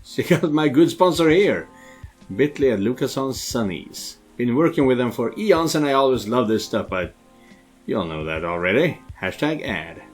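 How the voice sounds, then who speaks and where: A man's voice: mid-range voice, expressive, normal speed, soft background music, natural voice. A man voicing a showy, breezy social media influencer plugging a sponsor in a video game. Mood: breezy, boastful. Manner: breezy, salesman-like.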